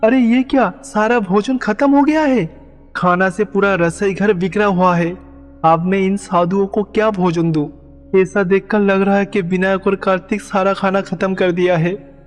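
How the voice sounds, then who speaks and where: A man's voice: high voice, steady tone, normal speed, voice pushed up, natural voice. A man voicing a flustered, worried bull attendant pleading in a devotional storybook tale. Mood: alarmed, fretting. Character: anxious, flustered bull attendant fretting over a ruined meal. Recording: long reverb, background music.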